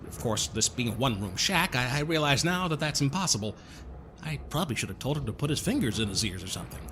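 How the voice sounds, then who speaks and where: A man playing a smooth-talking, mock-polite con man villain in an audio drama, wordy and falsely kindly. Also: mid-range voice, sing-song, quick pace, a bit bright, a little hiss or hum. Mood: smugly menacing. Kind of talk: scripted audio drama acting.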